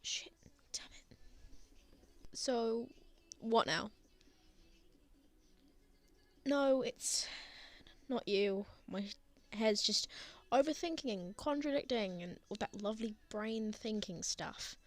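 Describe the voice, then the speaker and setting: Woman playing a high-voiced, flustered young man. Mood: awkward, apologetic. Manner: halting, defensive, sardonic. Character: flustered, prickly, lovestruck young man confessing to friend. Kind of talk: intimate ASMR roleplay acting.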